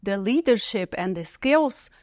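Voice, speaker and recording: A woman's voice: medium pitch, animated, measured pace, darkish tone, no room sound, clean studio sound. A woman with a Brazilian Portuguese accent, a professor hosting an interview in English, friendly and encouraging with frequent affirmations. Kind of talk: interview host.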